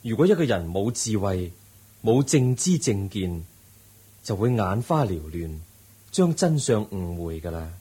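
A man acts out the Buddha, a gentle sage in an old radio drama who explains things patiently in Cantonese-accented speech. His voice sits low and husky. The tone is soft and warm. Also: big pitch swings, exaggerated voice. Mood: teaching. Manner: patient, instructive, measured. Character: calm wise male Buddha teaching gently.

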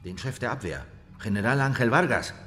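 Stern interrogator in echoing space. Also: male voice, medium pitch, expressive, fairly quick, bright, forceful tone, faint music behind. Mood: accusing, insistent. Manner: hard, pressing, commanding. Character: stern, accusing male government interrogator. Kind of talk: radio drama acting. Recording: reverberant interrogation room.

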